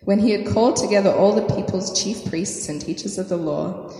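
A woman preacher with a croaky, hoarse voice from a sore throat, praying softly with many fillers, then reading scripture and preaching. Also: medium pitch, fairly quick. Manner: soft, hesitant prayer with many fillers. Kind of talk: sermon with prayer and scripture reading. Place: church hall. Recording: echoey hall, faint noise.

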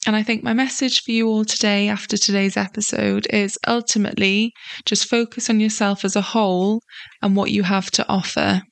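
Woman, podcast host, solo talk; croaky sore-throat voice, flat and even delivery, earnest and encouraging. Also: mid-range voice, moderate pace, no room sound. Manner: earnest and encouraging, loose with fillers.

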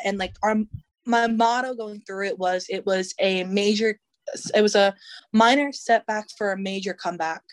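Earnest woman guest sharing her story. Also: medium pitch. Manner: earnest, reflective. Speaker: podcast guest. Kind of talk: casual interview.

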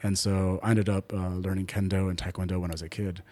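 A man's voice: low-pitched, little pitch movement, fast, mellow. A middle-aged man from Taiwan, a podcast guest answering questions thoughtfully with many ums and uhs. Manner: thoughtful, many hesitations and fillers. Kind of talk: relaxed conversational interview.

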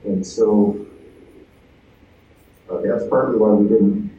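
A man who serves as a school board member, making measured, hesitant remarks at a public meeting. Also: lowish voice, fairly even intonation, highs cut off. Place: indoor public meeting room. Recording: very echoey meeting room, distant, faint noise.

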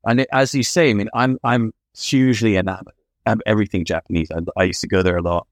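A man with a fairly low voice speaks as a guest on a podcast. He talks at length in an enthusiastic, rambling way. The sound is dry and close-miked. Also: steady tone, fast talker, dry recording. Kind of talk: casual interview chat.

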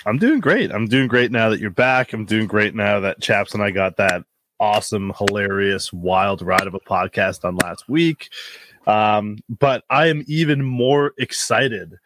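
A man co-hosting a podcast, telling stories in a teasing, animated way. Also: on the low side, unhurried, noisy background. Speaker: podcast co-host. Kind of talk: casual podcast banter.

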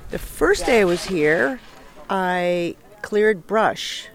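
A woman's voice: medium pitch, expressive, very slow, a crowd in the background. An older woman from Hawaii, a retired volunteer talking informally in an on-site interview. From Honolulu, Hawaii.

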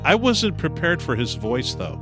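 A man's voice: a little high, big pitch swings, normal speed, over music. A man co-hosting a podcast in a casual, chatty, jovial and teasing way. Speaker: podcast host.

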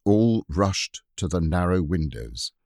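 Lively low-voiced male storyteller. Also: no room sound, studio quality. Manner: expressive, measured storytelling. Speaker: audiobook reader. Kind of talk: scripted narration.

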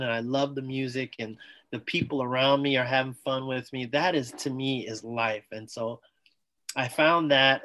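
A man's voice: medium pitch, pushed and bright. Enthusiastic man telling his story. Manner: enthusiastic, talks in bursts, acts out quoted dialogue. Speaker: podcast guest, former Olympic athlete. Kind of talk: conversational interview storytelling.

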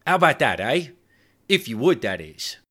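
A man's voice: mid-range voice, very animated, normal speed, a bit bright, husky, voice pushed up. A man voicing a nervous, sheepish male hotel attendant, wheedling and hesitant. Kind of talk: audiobook character voice.

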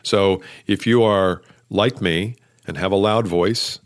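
A middle-aged man hosting a solo podcast, talking loudly and emphatically in a coaching tone. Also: deep voice, steady tone, takes it slowly. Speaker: podcast host.

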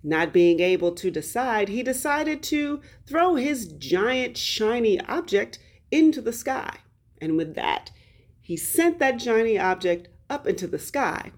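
A woman hosting as a storyteller, reading a folktale expressively and deliberately, with dramatic pauses and drawn-out words. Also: fairly high pitch, sing-song. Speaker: host and storyteller.

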